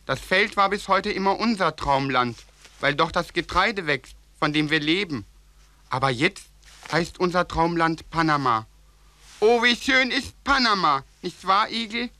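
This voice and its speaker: A man's voice: high voice, animated, unhurried, bright, forceful tone, full character voice. A man playing an earnest, dreamy hare in a children's audio play.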